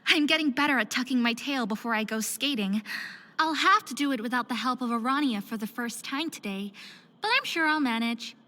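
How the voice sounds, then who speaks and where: Bright, high-voiced sensitive young woman. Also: a little fast. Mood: excited, nervous. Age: young adult. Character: sensitive, anxious young faerie-human hybrid woman. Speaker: lead character narrator. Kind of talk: visual novel voice acting, soliloquy and diary narration.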